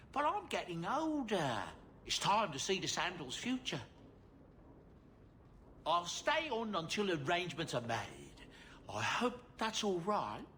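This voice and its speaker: An actor playing a kindly, fretful aging dwarf merchant in a video game, polite, hesitant and folksy. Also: male voice, sits high, a bit bright, slight background noise, exaggerated voice. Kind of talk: video game character acting.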